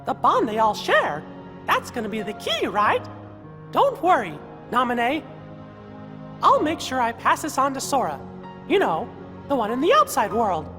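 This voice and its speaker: Squeaky, lively cartoon mouse king. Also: normal speed, long pauses. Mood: reassuring. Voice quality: squeaky falsetto. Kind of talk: video game cutscene acting. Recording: long reverb with music.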